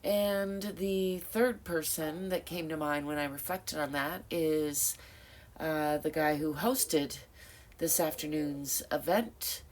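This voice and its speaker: A woman's voice: sits low, slow pace, a little mellow. A middle-aged woman with a stuffed-up nose, talking reflectively to camera in a rambling, thoughtful way, with many fillers and self-corrections. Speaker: vlogger. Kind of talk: reflective casual monologue.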